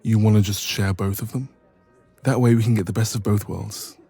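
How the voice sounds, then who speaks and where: Playful young male best friend, played by a man, romantic audio roleplay: mellow, slightly deep, breathy, intimate and chatty, faint music underneath. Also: moderate pace. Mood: warm, chatty. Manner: playful, teasing, expressive, soft laughs.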